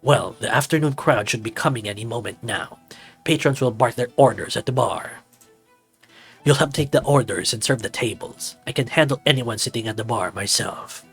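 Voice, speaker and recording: A man's voice: medium pitch, moderate pace, gravelly, fairly bright tone, husky. Man acting a big male beast tavern boss, bossy, kindly, protective, visual novel character, music underneath. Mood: confident, instructive.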